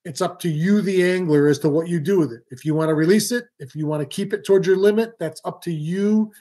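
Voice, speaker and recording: A man's voice: high-pitched, fast talker, mellow. A man, hosting a live fishing stream from a small room at home, explaining things casually with lots of ums and restarts. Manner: talks with his hands, many ums and restarts. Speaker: fishing show host. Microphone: webcam or laptop mic, video call framing.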